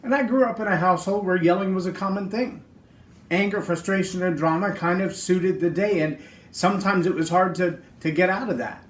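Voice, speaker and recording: A man's voice: sits high, fairly quick, echoey room. A middle-aged man with a big voice hosting a podcast, giving an earnest, emphatic motivational talk on leadership. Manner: earnest, emphatic, speaks in lists. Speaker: podcast host.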